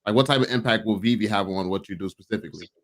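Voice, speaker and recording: A man with a fairly low voice asks questions in a casual interview conversation. His phrasing is tentative and halting as he works toward his point. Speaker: participant asking questions.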